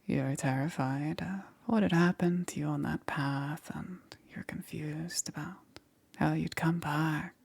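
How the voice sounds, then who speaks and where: A woman playing a calm, eerily gentle witch necromancer in an ASMR roleplay, breathy and often whispering, with unhurried pauses. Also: low voice, full character voice. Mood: soft, ominous. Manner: unhurried, halting with pauses, soothing. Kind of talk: ASMR roleplay, soft and intimate.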